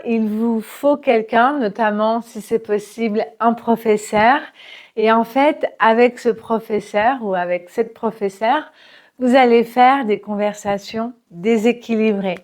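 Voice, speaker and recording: A woman teaching her language in a relaxed spoken lesson, patient and full of small hesitations. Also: mid-range voice. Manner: slow, patient, with frequent hesitations and fillers. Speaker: French teacher.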